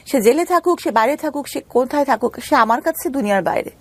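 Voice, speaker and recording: A Bangladeshi woman telling her personal story as an interview guest, halting and repeating phrases for emphasis. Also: high-pitched, expressive.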